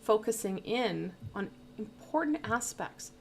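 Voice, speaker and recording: A woman's voice: on the high side, expressive, a little slow, creaky. A woman giving a casual livestream tarot reading, rambling and stumbling over words and poking fun at her own slips, into a plug-in microphone. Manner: rambling, stumbling, self-deprecating. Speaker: tarot reader. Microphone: plug-in studio microphone.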